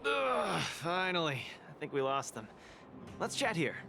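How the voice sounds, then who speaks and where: An actor playing a cheerful, boastful young fight champion in a video game, talking in an eager, playful way. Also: male voice, fairly high pitch, big pitch swings, measured pace, creaky, sharp tone, a little hiss or hum, full character voice. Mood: relieved. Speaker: cage fighter. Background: game music.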